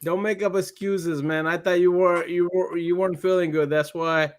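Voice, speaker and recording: A man's voice: sits high, fairly even intonation, normal speed. A man hosting a podcast over a video call, teasing his guests in a laid-back way. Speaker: podcast host. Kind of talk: casual interviewing.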